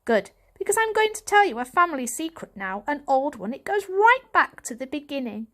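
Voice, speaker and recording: A woman's voice: high-pitched, big pitch swings, a little fast, pushed and bright, voice pushed up, heavily acted. A woman voicing an elderly male cat, a wise, secretive grandfather with an earnest storytelling manner, for a children's audiobook. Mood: grave, urgent.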